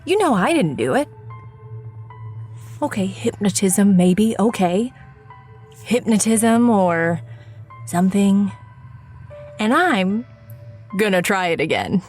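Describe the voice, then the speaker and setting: A weary, self-mocking writer in a radio play. She confides in the listener conversationally, with a slightly slow pace and a very animated, mid-pitched voice. Faint music plays behind her. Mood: wry, confiding. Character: wry, weary woman writer of supernatural stories. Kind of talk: audio drama acting, confiding monologue to the listener.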